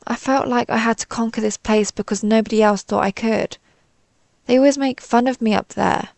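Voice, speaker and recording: A woman's voice: medium pitch, vocal fry, a little mellow, no echo. A woman playing a timid, suspicious alien pizza slice who rambles hesitantly and puts herself down in a scripted audio roleplay. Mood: hurt, resigned. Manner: hesitant, rambling, self-deprecating.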